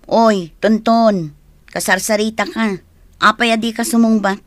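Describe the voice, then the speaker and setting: An actress playing a prying older sister in a radio drama, questioning and teasing. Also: female voice, medium pitch, fairly even intonation, unhurried, dry recording, close to an everyday voice. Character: older sister Manang Sally, nosy and prying.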